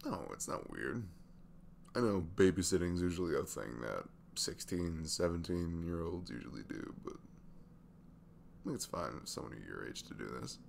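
A man playing a sweet, tired single dad in his twenties in an intimate ASMR roleplay, talking haltingly. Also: sits low, very animated, creaky, slight background noise, full character voice. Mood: reassuring. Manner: hesitant, halting, softly chuckling.

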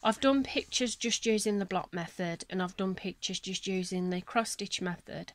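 Chatty woman with a croaky voice. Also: medium pitch, normal speed, dry recording. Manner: chatty, apologetic, rambling with fillers. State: croaky from a cold. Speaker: colouring channel host. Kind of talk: casual chat showing colouring books. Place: home room at a table. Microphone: camera on a selfie stick above the table.

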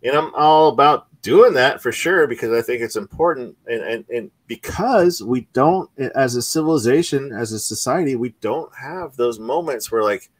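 A man's voice: mid-range voice, animated, moderate pace, few pauses, husky, no room sound. A man in his forties from Oregon, co-hosting a casual book club chat over a video call, talking in earnest, rambling runs with many restarts. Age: 40s. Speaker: book club podcast co-host. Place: small home room. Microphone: studio mic on stand with headphones.

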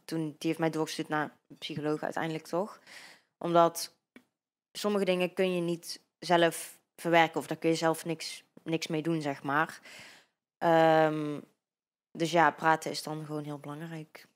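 A young Dutch-accented woman in her early twenties speaks on a sofa in a bright living-room studio, her voice sitting a little low. She talks hesitantly, with many fillers and restarts, into a handheld microphone. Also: little pitch movement, normal speed. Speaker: interview guest. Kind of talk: casual interview.

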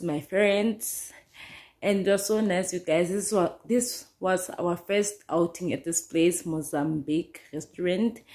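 A woman's voice: on the low side, a little mellow. A young woman chatting loosely to camera as a vlogger, rambling a little as she talks. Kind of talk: casual chat to camera. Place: small room indoors. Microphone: phone camera held close.

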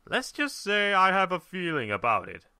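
A man's voice: high-pitched, huge pitch swings, normal speed, sharp tone, smooth, dry recording, clean studio sound, voice pushed up, heavily acted. A man voicing a suave, teasing but reassuring shadowy being in a dramatic story reading. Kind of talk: dramatic fan fiction reading.

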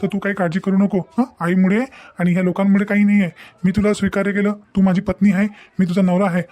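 Man acting a fast, high-voiced devoted young groom. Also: level tone, darkish tone, lightly acted. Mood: reassuring, earnest. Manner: explanatory, insistent, repetitive. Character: devoted, reassuring young groom, firm and earnest. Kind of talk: cartoon family melodrama acting.

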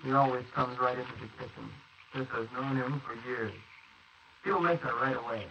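A man's voice: mid-range voice, normal speed, creaky, bright, forceful tone. Guy acting as a nervy young accomplice in a 40s radio play, muffled n really noisy old recording. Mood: calmer, reassured. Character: nervous, guilty young man, accomplice in a murder. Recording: noisy muffled 1948 wire recording of transcription discs.

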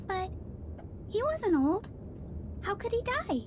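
A woman's voice: very high voice, big pitch swings, takes it slowly, background noise, exaggerated voice. A woman playing an earnest, insistent six-year-old girl in an audio drama, pleading and inquisitive. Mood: anxious, questioning.

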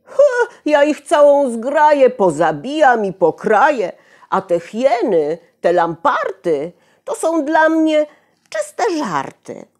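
A woman's voice: sits high, huge pitch swings, takes it slowly, darkish tone, pitched above the performer's own voice, exaggerated voice. A woman voicing a boastful, cocky little boy in an exclamatory storybook style. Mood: cocky bragging. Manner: bragging, exclamatory.

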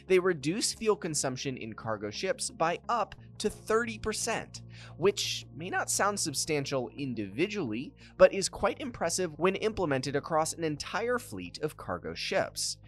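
Lively documentary narrator over soft music. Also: mid-range voice, measured pace. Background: faint background music.

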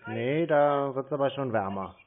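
A man's voice: mid-range voice, a little slow. A man chatting casually on a call in a dry, colloquial, contrarian way, like a stubborn customer. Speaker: prank caller posing as a customer. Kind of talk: casual phone conversation. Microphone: telephone. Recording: tinny, narrow phone line.

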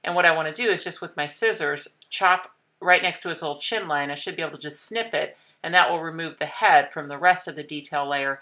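Craft tutorial host, woman in her thirties, low-pitched with a bright, clear tone, patient and explanatory. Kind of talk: calm step-by-step craft demonstration.

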